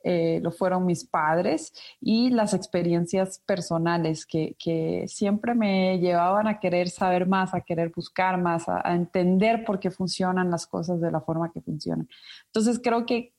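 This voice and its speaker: A woman's voice: on the low side, steady tone, unhurried, a little mellow. A woman from Ecuador, an academic researcher, answers interview questions thoughtfully, with frequent fillers and restarts. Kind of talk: interview conversation.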